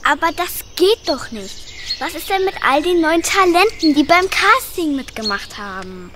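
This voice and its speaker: A woman's voice: sits high, big pitch swings, moderate pace, noisy background. A woman acting a kind, reassuring role in a children's radio play.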